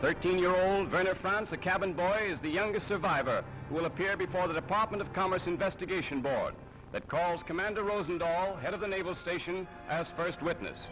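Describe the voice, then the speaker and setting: A male announcer delivers scripted 1930s newsreel narration. His voice sits slightly high and his manner is formal and declamatory. Music is clearly audible beneath him on a muffled, aged soundtrack. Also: brisk.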